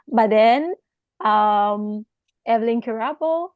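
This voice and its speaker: A woman hosting a live online Q&A, casually prompting her guest with quick questions and fillers. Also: on the high side, smooth, no echo, narrow, tinny audio. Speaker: host.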